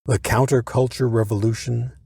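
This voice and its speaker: A man's voice: medium pitch, little pitch movement, normal speed, creaky, a little mellow, husky, dry recording, clean studio sound. A man reading scripted documentary narration.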